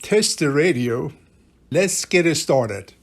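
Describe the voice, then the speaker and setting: A man's voice: fairly high pitch, expressive, takes it slowly, creaky. A man giving a casual step-by-step repair tutorial as a hobbyist, plain-spoken and instructive, with non-native-sounding phrasing and dropped articles. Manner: plain-spoken, instructive, often checking with "right?". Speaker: radio repair hobbyist demonstrating a restoration.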